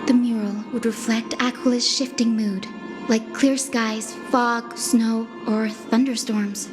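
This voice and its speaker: A woman playing a gentle, composed young female guide in a fantasy game, with measured, explanatory speech. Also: fairly high pitch, fairly even intonation, over music. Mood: calm, thoughtful. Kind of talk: scripted game dialogue.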